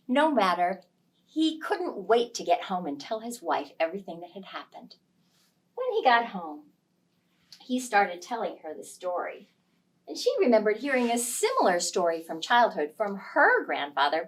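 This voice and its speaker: A woman telling a story aloud to young children, with expressive, dramatic delivery and emphasis on key words. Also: mid-range voice, normal speed, distant mic.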